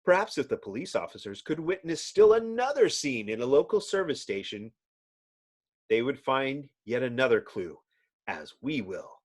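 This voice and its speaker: A man's voice: mid-range voice, sing-song, moderate pace. A man giving a dramatic comic-book narration in a theatrical, suspenseful and emphatic manner. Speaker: narrator, reader.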